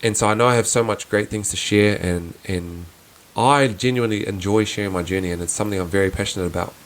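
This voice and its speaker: A young man hosting his own podcast, talking in a soft voice with earnest, reflective musings and frequent restarts. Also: lowish voice, fast. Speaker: podcast host. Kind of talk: casual reflective solo podcast.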